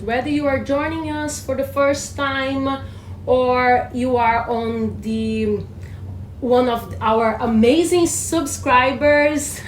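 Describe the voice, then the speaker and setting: Slow, echoey Brazilian woman co-host. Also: on the high side, a little hiss or hum. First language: Portuguese. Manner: animated, restarts phrases often. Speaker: podcast co-host. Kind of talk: casual teaching chat. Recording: echoey room, faint ambience.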